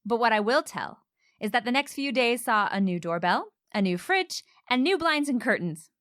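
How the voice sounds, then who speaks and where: A woman plays a headstrong single woman fixing up her house in a radio romantic comedy. Her voice is high and swings in lively fashion. She banters and narrates with wry, playful timing. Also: moderate pace. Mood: wry narrating.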